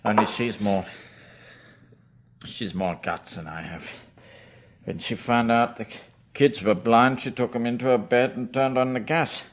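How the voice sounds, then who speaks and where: An actor playing a drunk, despairing, blind British pub landlord, slurred and rambling, in a radio drama. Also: male voice, mid-range voice, unhurried, natural voice.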